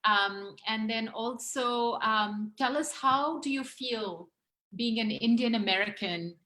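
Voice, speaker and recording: A woman's voice: a little high, slow, bright, forceful tone. A woman hosting a casual group chat, interviewing children in an encouraging way and often chiming in with short affirmations. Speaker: host.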